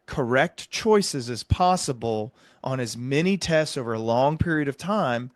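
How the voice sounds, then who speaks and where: A man speaking as a medical provider and interview host, explaining his views in an earnest, measured, conversational way. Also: medium pitch, animated, no room sound, clean studio sound. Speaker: psychiatric provider and podcast host.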